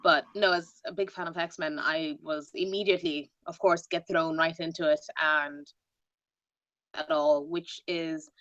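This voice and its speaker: A woman chats about a book in a fairly low voice, acting out little reactions as she talks. The audio is dull and lo-fi. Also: steady tone, a little slow, a bit bright. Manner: chatty, acts out reactions in quoted asides. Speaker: book club panelist. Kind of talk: casual discussion.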